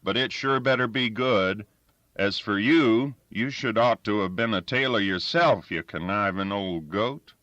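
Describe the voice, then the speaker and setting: Man doing an eager grumbling camp fellow, loud, higher voice, bright tone. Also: a little slow, no room sound, muffled recording. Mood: pouting. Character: eager, pouty grown man listener.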